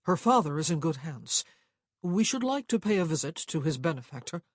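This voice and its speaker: Man playing guarded young man, firm, direct, protective, husky voice, audiobook dialogue. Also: fairly high pitch, big pitch swings, fairly quick, no room sound, clean studio sound.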